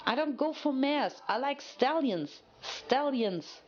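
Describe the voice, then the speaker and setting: A woman's voice: sits high, animated, takes it slowly, muffled recording, voice pushed up, exaggerated voice. A woman voicing an indignant, easily flustered farm pony mare with a folksy way of talking in a dramatic story reading.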